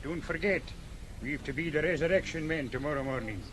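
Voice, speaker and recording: A man's voice: fairly high pitch, a little fast, noisy background, close to an everyday voice. Dry, grumbling policeman, played by a man. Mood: exasperated. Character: weary, grumbling senior policeman, dryly exasperated by storytelling. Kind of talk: radio drama acting.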